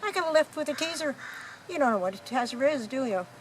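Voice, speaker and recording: Woman doing a breezy space hitchhiker who knows it all, matter of fact, highish voice, bit of noise, radio play. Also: lively intonation, fast. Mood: breezy, explaining. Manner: matter-of-fact, casually explanatory. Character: breezy, knowing galactic hitchhiker and travel-guide researcher. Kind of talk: amateur radio play acting.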